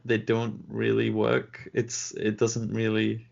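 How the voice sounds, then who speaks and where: A man co-hosting a podcast, giving measured opinions in casual discussion and often laughing. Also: lowish voice, completely flat delivery, gravelly, darkish tone, dull audio. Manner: measured, laughs often, rates things out of ten. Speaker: podcast co-host.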